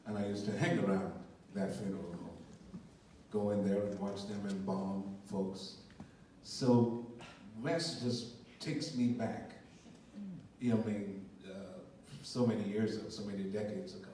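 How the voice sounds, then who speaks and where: An older man answers slowly and thoughtfully, stumbling and repeating his words. His voice is low and warm. It sounds distant, caught in a big echoing theater with a faint hiss. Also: fairly even intonation. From Guyana. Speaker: stage actor on a talkback panel. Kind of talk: post-show panel answer. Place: theater stage. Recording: echoey hall from far off.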